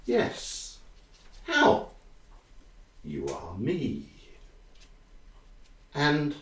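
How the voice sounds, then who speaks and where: Uncertain dreamer, halting, hushed, extremely slow, echoey. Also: mid-range voice, heavily acted. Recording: echoey, distant from the mic.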